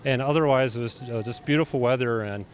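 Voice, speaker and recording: A man answering a street interview outdoors in a relaxed, hesitant way. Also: medium pitch, fast talker. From Canada.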